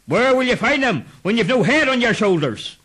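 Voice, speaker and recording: A man's voice: very high voice, very animated, normal speed, a bit bright, husky, pitched above the performer's own voice, full character voice. A man voicing a crowd of mocking headless ghost men who scold and jeer. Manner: scolding, jeering.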